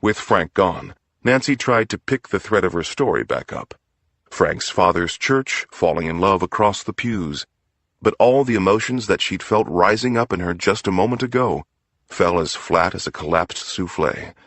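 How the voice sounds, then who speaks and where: Very deep, crisply clear male narrator. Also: animated, normal speed, fairly bright tone, no room sound. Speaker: audiobook narrator. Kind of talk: scripted crime story narration.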